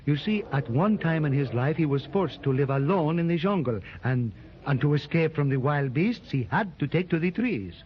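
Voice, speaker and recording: A man's voice: a little high, expressive, normal speed, a little hiss or hum, natural voice. A man playing a courtly French army lieutenant with a French accent in a 1930s radio serial, reassuring and polite, on a muffled old recording. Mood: calm. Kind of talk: 1930s radio drama acting.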